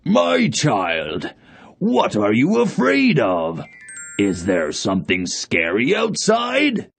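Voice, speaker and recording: A man playing a stern, principled fatherly king in a storybook, speaking in a measured, admonishing way. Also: mid-range voice, huge pitch swings, fairly bright tone, faint music behind, full character voice. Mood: concerned. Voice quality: gravelly, husky. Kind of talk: storybook voice acting.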